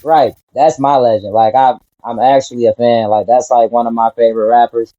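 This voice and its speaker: A man's voice: mid-range voice, fairly even intonation, a little fast, mellow, no echo, lo-fi sound. A man from Detroit, a rapper, answering interview questions in a laid-back, earnest way. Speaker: rapper guest.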